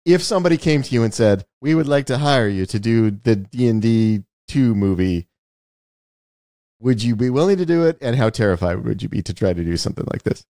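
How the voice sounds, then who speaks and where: A male podcast host speaks at an average pitch in a dry, echo-free recording. He chats and asks questions casually, with a blunt, dry sense of humor. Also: animated, a little fast, dark tone.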